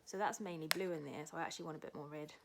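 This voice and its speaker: Kiwi woman showing an art lesson, lowish voice, chatty with lots of ums, goes soft and quiet while drawing. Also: steady tone, rapid-fire, gravelly, heavy background noise. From New Zealand. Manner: casual, many fillers, talks while drawing, often drops to a soft low voice. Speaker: art tutor. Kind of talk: art demonstration tutorial.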